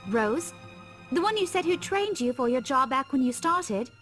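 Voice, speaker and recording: A chatty young woman in a visual novel. She has a British accent and an extremely high, inquisitive voice, with faint music behind. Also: animated, normal speed, fairly bright tone. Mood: curious. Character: curious young woman, chatty friend of the heroine.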